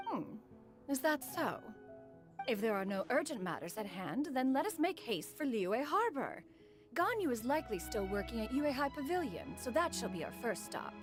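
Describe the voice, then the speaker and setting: Formal old crane spirit lady in human form, talks about herself as "one", lively up and down pitch, music under it. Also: moderate pace. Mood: stern. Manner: formal archaic speech, refers to herself as "one". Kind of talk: video game cutscene voice acting. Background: game music.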